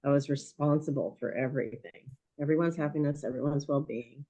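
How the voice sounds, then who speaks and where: A woman in her sixties, a guest in a conversation, speaking thoughtfully and hesitantly with many pauses while her voice is going hoarse. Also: sits low, dark tone, low-quality recording. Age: 60s. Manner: thoughtful, hesitant with frequent ums. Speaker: podcast guest. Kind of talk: reflective conversation.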